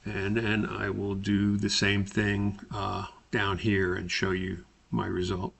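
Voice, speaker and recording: Male instructor for screen tutorial: deep, bright-edged voice, deliberate halting step-by-step delivery. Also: monotone, vocal fry, breathy edge. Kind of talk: screen-recorded spreadsheet tutorial narration.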